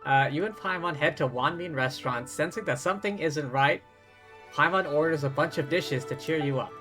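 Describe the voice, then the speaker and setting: A man's voice: on the high side, brisk, pushed and bright. A man who streams games, reading dialogue and narration aloud dramatically, with casual asides. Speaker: game streamer. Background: game music.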